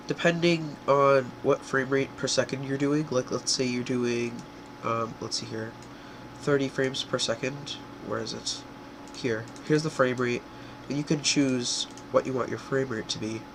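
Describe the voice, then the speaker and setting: A young man with a stuffy, congested voice, talking casually through a screen-recorded software tutorial, full of fillers and quick self-corrections. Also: mid-range voice, noisy background. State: congested from a cold. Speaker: tutorial creator.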